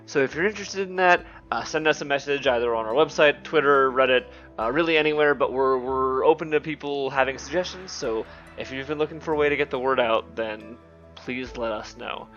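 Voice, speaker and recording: A man's voice: mid-range voice, fairly quick, fairly bright tone, muffled recording, faint music behind. A man hosting a podcast in a casual, conversational way. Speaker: podcast host.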